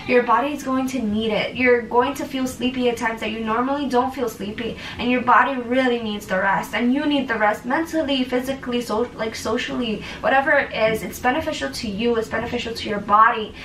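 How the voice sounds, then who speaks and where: A woman's voice: a little high, brisk, hardly any pauses, fairly bright tone, echoey room, distant mic, slight background noise, rough recording. A young woman talking through recovery tips in a casual vlog, chatty and earnest with plenty of fillers. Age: young adult. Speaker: vlogger.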